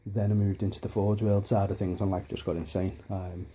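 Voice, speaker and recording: A man in his thirties from Liverpool, chatting casually on a home vlog with a softened Liverpool accent, rambling with many restarts and ums, filmed on a handheld camera in his dining room. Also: low-pitched, level tone, fairly quick, slight background noise. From Liverpool, England. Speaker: hobbyist vlogger. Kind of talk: casual home video chat.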